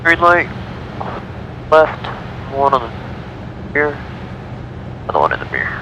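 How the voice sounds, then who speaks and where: American man over loud plane engine noise. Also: fairly high pitch, huge pitch swings, unhurried. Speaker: pilot and vlogger. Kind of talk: aviation radio calls and casual vlog talk. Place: small airplane cockpit. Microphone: aviation headset via audio cable.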